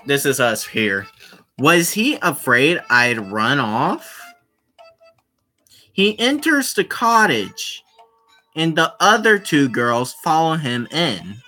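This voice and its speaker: Casual game streamer, sharp bright delivery, slow pace with repeated phrases, music underneath. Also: mid-range voice, very animated. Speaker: streamer playing a visual novel. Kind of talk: casual livestream commentary with read-aloud.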